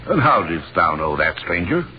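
An actor playing a boastful, long-winded, portly older physician in 1930s radio drama style. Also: male voice, sits low, sing-song, moderate pace. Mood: marvelling, excited. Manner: pompous, wordy, self-important. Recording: muffled noisy 1930s radio recording.

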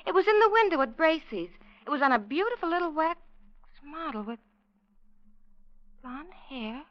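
A woman's voice: sits high, big pitch swings, moderate pace, no echo. An actress playing an anxious, naive young wife in a 1940s radio drama, timid and hesitant in her delivery. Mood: relieved, then uneasy. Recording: dull, dry vintage radio recording.

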